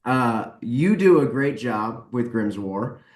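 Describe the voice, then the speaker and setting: A man's voice: medium pitch, expressive, slow pace. A man answering interview questions casually as a podcast guest, informal, emphatic and inclined to ramble. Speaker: podcast guest, publisher and author. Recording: roomy, echoing voice recording.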